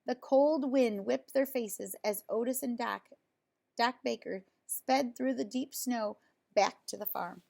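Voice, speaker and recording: A woman with a fairly high voice reads a picture book aloud for young children. Her delivery is expressive and simply put, like someone sharing a story with little listeners. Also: slow pace, gravelly. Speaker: storybook reader.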